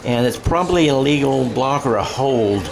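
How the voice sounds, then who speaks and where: A man's voice: medium pitch, lively intonation, moderate pace, creaky, low-quality recording. Male American sports commentator, conversational radio play-by-play, self-correcting delivery, live crowd behind. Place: outdoor high school football game.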